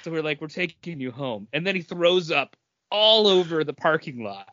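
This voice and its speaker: A man with a slightly high voice hosts a casual podcast. He tells anecdotes in a storytelling way, voicing what others said. The recording is a little dull and echoey. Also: expressive, moderate pace, sharp tone. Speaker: podcast host. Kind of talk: casual chat, telling anecdotes. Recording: dull, echoey room sound.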